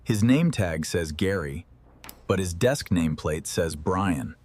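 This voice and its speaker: A man narrating a scripted second-person story in a deadpan manner. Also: low-pitched, takes it slowly, husky.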